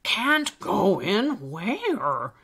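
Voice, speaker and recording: A woman voicing a jolly, kindly old male elf in a children's story, with a hearty, drawn-out delivery. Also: medium pitch, huge pitch swings, a bit bright, husky, exaggerated voice. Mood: gently questioning.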